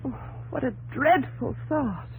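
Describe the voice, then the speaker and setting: An actress playing a gentle young New England woman who tells her story earnestly and with measured delivery in a 1950s radio drama. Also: high voice, lively intonation, gravelly, husky, exaggerated voice, low-quality recording. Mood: gentle concern. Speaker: narrator and actress.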